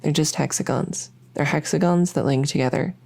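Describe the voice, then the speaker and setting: A woman's voice: low-pitched, gravelly, darkish tone. A woman playing a shy, lonely, socially awkward vampire who wants friends, talking in a hesitant, rambling way in an ASMR audio roleplay. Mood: geeky, rambling. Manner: hesitant, halting, rambling, full of self-corrections.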